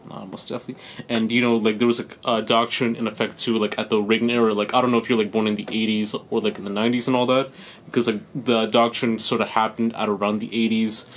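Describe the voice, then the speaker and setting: A male interviewer asks questions quickly, with a flat delivery and frequent hedges. Faint background noise sits under his voice. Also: lowish voice. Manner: quick, hedging, lots of "like".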